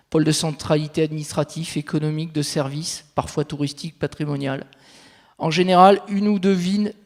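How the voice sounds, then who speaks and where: A man's voice: fairly high pitch, a little mellow. A man giving an explanatory conference presentation, laying out his points step by step. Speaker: territorial consultant presenting at a conference. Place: large reverberant conference venue. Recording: echoey hall with long reverb.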